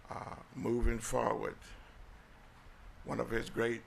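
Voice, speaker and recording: Slow, very gravelly American pastor. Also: male voice, medium pitch, completely flat delivery, slight background noise. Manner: solemn, deliberate, halting phrasing. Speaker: pastor, guest speaker. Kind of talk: formal thank-you speech with blessing. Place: city council meeting room.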